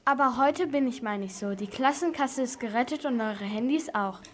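A female performer playing a brisk, firm schoolteacher in an amateur radio play for children. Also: a little high, a little hiss or hum, natural voice. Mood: pleased, announcing.

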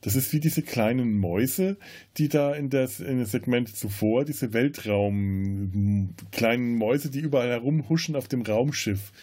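Man chatting casually, halting delivery. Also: medium pitch, darkish tone. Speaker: podcast host. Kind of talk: casual film discussion.

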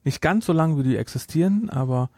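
A man's voice: fairly high pitch, expressive, fairly quick, dark tone, no echo, clean studio sound. A middle-aged man chatting casually as a podcast host, rambling and repeating words for emphasis.

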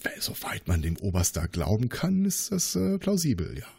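A man's voice: fairly low pitch, huge pitch swings, moderate pace, gravelly, mellow, breathy edge, heavily acted. A man playing an indignant, paranoid old inventor in an improvised role-play, blustering as he talks. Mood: hesitant, defensive. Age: older figure. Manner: blustering, repeats questions in outrage.

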